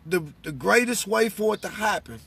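A middle-aged American man with a high, gravelly voice and a husky edge. He preaches conversationally, testifying emphatically and repeating his points for weight. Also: animated, normal speed, a bit bright. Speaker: religious speaker. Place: inside a parked vehicle.

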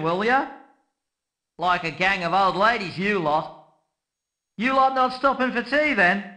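High, sharp-voiced, cocky Antipodean soldier. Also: male voice, full character voice, low-quality recording. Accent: Australian or New Zealand. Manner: brash, mocking, slangy. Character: cocky, taunting Antipodean soldier, brash and mocking. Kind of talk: video game character taunts and barks.

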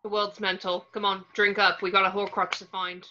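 A blunt young wizard lad read by a woman, casual and colloquial. The voice is bright and forceful, slightly muffled as in an amateur table read over a video call. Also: mid-range voice, flat delivery, a little fast, lightly acted.

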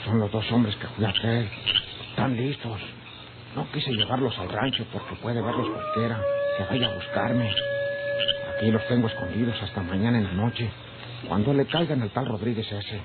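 A man's voice: on the low side, moderate pace, lightly acted. Actor as a folksy Mexican henchman, tinny recording. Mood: deferential. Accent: rural Mexican. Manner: plain-spoken, folksy, insistent. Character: deferential but stubborn rural Mexican henchman. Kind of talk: old Mexican radio serial acting. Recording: tinny, noisy old radio recording.

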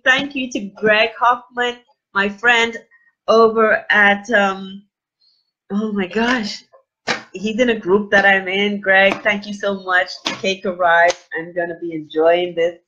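Gushing middle-aged woman, husky webcam audio. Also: medium pitch, a little slow, highs cut off. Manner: gushing and animated, frequent exclamations and fillers. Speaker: livestream host. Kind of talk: casual live unboxing chat. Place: small indoor room with plain wall. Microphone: webcam at desk distance.

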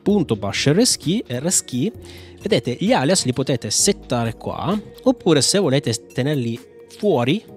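A man's voice: mid-range voice, sing-song, a little slow, soft background music. A man giving a casual screencast tutorial, thinking aloud in a jokey, rambling, self-mocking way. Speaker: tech YouTuber presenting a software tutorial.

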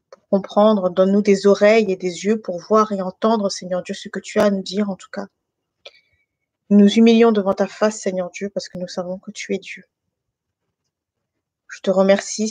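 A woman in her thirties from France, speaking informally and haltingly as she shares a personal testimony with a group. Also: mid-range voice, darkish tone, dry recording. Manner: hesitant, halting delivery with many pauses and repetitions. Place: living room at home.

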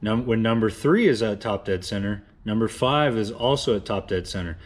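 A man's voice: fairly low pitch, animated, normal speed. Plain-spoken man in an echoey garage. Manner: plain-spoken, frequent uhs and restarts. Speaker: engine builder explaining cam timing. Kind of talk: casual workshop tutorial. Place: home garage with cars and a car lift.

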